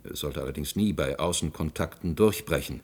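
An actor playing a careful expert witness in a German radio play, formal and explanatory with some hesitation. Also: male voice, very deep voice, fairly quick, a little mellow, breathy edge. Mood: uneasy, explanatory.

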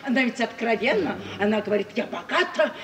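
An actress playing a sly, lively, teasing society lady in a 1950s Russian radio comedy. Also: fairly high pitch, a little fast, crowd noise. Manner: animated, teasing, swooping theatrical delivery. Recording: distant, long-reverb 1950s radio recording.

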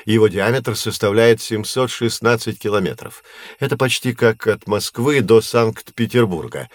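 Patient professor played by a male actor, low register, measured teaching delivery, dry close sound, educational audio drama. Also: dry recording, lightly acted. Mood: instructive. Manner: explanatory, measured, didactic. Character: male astronomy professor, patient, knowledgeable teacher of curious children.

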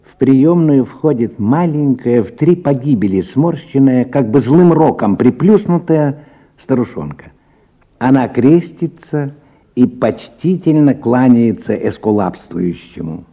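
Expressive male story narrator. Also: medium pitch, a little slow, husky, lo-fi sound. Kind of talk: dramatic scripted story narration.

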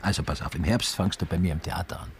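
An actor playing a blunt, dismissive older theatre director in a radio drama, gruff and brusque. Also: male voice, low-pitched, fast talker, a crowd in the background, close to an everyday voice.

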